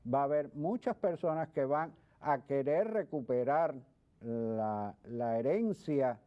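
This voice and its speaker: A man speaking as a guest expert in an interview, explaining things in a halting way with repeated words. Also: mid-range voice. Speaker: guest expert, history professor. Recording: dull lo-fi sound.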